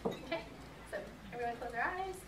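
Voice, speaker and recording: A woman's voice: on the high side, animated, brisk. A woman leading a conference crowd through a breathing exercise, giving coaxing instructions and laughing between cues. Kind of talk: guided group exercise at a live event. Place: conference hall, indoors. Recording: echoey hall, distant, noisy.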